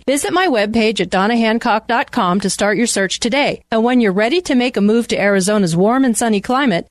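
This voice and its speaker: A woman's voice: mid-range voice, no echo. A woman real estate agent reading a scripted radio advertisement in a polished, upbeat sales manner.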